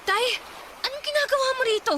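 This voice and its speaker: A woman's voice: very high-pitched, quick pace, pushed and bright, a little hiss or hum. An actress playing a young woman who anxiously questions her family in a radio drama. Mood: worried. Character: young woman granddaughter, worried and outspoken.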